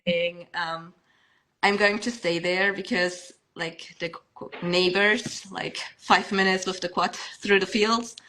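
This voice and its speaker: Bright-voiced young woman, chatty guest. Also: fairly low pitch, fairly even intonation, slow, rough recording. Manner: chatty, laughs often, many fillers and restarts. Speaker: podcast guest. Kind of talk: casual podcast chat.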